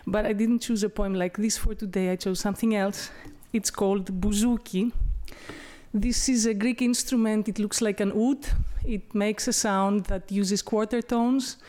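A woman professor talks about a poem in an easy, explanatory way. Her pitch sits in the middle of the usual range. She restarts now and then and drops in small laughs. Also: fairly even intonation, normal speed, dark tone. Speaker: professor of Byzantine history and classics. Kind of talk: informal talk introducing a poem.

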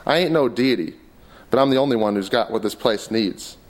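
A man's voice: medium pitch, fairly quick, lightly acted. A man reading aloud and voicing a blunt, crude middle-aged cowboy investor in a story. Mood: pushy.

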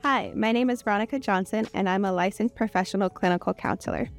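A woman's voice: mid-range voice, fast talker, soft background music. A woman from the Bay Area, a clinical counselor, giving a composed scripted introduction. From Bay Area, California.

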